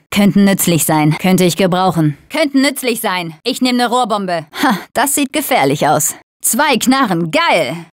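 A young woman voicing a tough video game survivor of a zombie apocalypse in short, casual, slangy, expressive lines. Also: a little high, no room sound, studio quality. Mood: pleased. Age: young adult.

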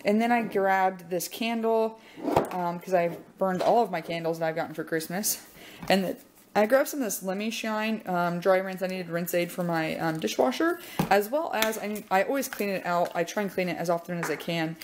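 A young woman chatting casually in her home kitchen, upbeat and full of "um" pauses as she walks through her grocery haul. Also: fairly low pitch, noisy background. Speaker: vlogger showing a grocery haul. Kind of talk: casual chat.

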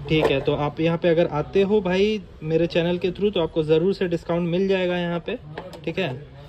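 A man's voice: high voice, fairly even intonation, normal speed, darkish tone, lo-fi sound. A man chatting casually to viewers as a vlog host, explaining things informally and repeating key points. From India. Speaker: vlogger and host. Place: small indoor motorbike accessory shop. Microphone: action camera held by the speaker. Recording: noisy room with clear ambient noise.

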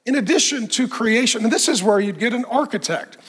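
A middle-aged man preaching a sermon on a church stage, animated and conversational with self-deprecating humor, somewhat hoarse and clearing his throat. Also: very high voice, brisk. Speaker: pastor.

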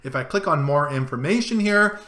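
A man's voice: fairly high pitch, animated, fairly quick, bright, forceful tone, echoey room. A middle-aged man casually talking through a screen-share tutorial, guiding each click and zoom step by step. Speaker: travel vlogger. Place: home room, indoors.

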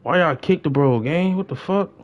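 A young adult man chatting casually as he streams a game, slangy and excitable, often repeating his phrases. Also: fairly high pitch, moderate pace, highs cut off. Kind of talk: casual livestream gaming chat.

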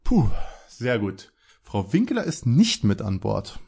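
A man's voice: medium pitch, wildly swooping pitch, takes it slowly, gravelly, noisy background, heavily acted. A man playing a sheepish, whiny young detective in a parody detective audio drama, casual and grumbling. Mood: relieved, grumbling.

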